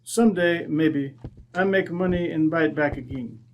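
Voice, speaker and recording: A man playing a proud, courteous French viscount with a French accent and halting, formal English in a radio drama. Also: on the high side, a little hiss or hum, natural voice. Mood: wistful, polite. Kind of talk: old-time radio drama acting.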